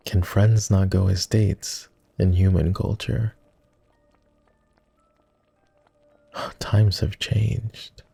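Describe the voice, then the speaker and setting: A man playing a flirty, courtly vampire aristocrat in an intimate roleplay ASMR, with refined, formal, teasing speech in a low, gravelly murmur. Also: dark tone, no room sound, heavily acted. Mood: tender, flirty. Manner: refined, formal diction with playful teasing and sighs.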